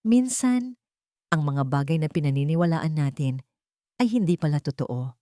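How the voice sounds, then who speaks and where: A woman acts out a worried female friend with a Filipino accent in an audio love story. She is reflective and gently probing, with a mellow tone. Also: low voice, animated, normal speed, no room sound, studio quality. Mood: concerned.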